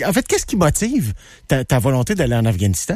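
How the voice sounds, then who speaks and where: A man's voice: mid-range voice, huge pitch swings, fast talker, darkish tone, no echo, studio quality. A man hosting a radio interview, friendly and pressed for time. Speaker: radio host. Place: radio studio.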